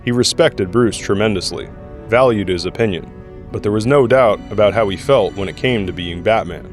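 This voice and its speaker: Low-voiced male narrator over music. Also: moderate pace. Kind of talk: scripted audio drama narration. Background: background music.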